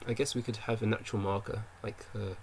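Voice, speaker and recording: A man's voice: on the low side, steady tone, fast talker, vocal fry, slight background noise. A man developing a game on stream, thinking aloud as he works, muttering to himself and constantly correcting and second-guessing himself. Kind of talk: thinking aloud while working.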